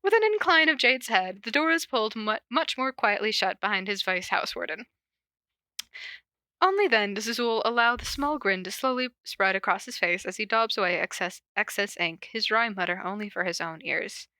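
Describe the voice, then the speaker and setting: Bright-voiced woman, casual and giggly. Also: medium pitch, animated, normal speed, no echo. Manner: giggly, informal. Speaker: podcast host reading fan fiction aloud. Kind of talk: casual chat and cold reading of fan fiction.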